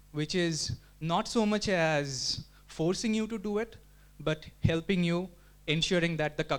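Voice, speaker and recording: A man giving an explanatory talk at a community meetup, thinking aloud with frequent restarts and filler words. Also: a little high. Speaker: community presenter. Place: meetup room.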